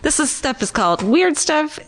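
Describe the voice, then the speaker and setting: A woman's voice: high-pitched, sing-song, moderate pace. A woman co-hosting a podcast, chatting casually and reading a listener letter aloud, giggling often and swearing freely. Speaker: podcast co-host.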